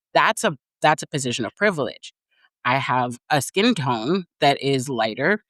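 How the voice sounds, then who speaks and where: A co-host on a podcast, talking in a reflective, measured way, with plenty of "like" and "mm-hmm". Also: mid-range voice, a bit bright, no room sound. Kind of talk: casual conversation on leadership.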